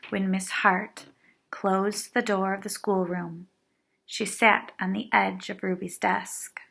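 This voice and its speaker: A woman reading a picture book aloud to young children, expressive and dramatic, with little asides. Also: mid-range voice, takes it slowly, gravelly. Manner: animated, dramatic emphasis for young listeners.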